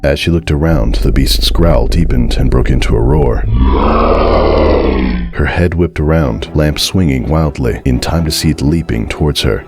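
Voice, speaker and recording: A man's voice: rumbling low, normal speed. A man narrating a horror story as a dramatic reading.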